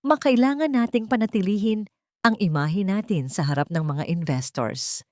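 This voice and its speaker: A woman's voice: medium pitch, normal speed, darkish tone, dry recording, studio quality, close to an everyday voice. A woman voicing a scheming young stepmother who speaks sweetly but with threat underneath.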